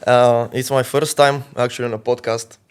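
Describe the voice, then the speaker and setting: A man's voice: mid-range voice, flat delivery, normal speed, clean tone. A man in his twenties from Bulgaria, a podcast guest chatting casually and laughing often. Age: 20s. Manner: laid-back slangy talk, laughs often. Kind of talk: casual chat.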